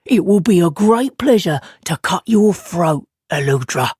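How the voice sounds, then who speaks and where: A woman's voice: lowish voice, expressive, measured pace, darkish tone, husky, no room sound, clean studio sound, exaggerated voice. A woman reading a story, voicing a big menacing male guildsman with a strange accent who mocks and threatens his rival. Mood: gloating. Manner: mocking, gloating.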